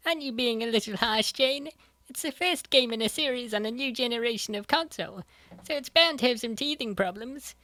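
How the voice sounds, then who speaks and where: A woman voicing a sensible, patient young female gamer in a comic dub, reasoning in a measured, coaxing way. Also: a little high, bright, forceful tone. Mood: reasoning, encouraging.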